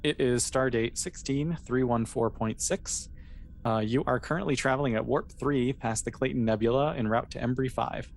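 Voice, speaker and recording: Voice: medium pitch, moderate pace, creaky, slight background noise. A game master narrating an improvised roleplaying scenario in a casual, conversational way.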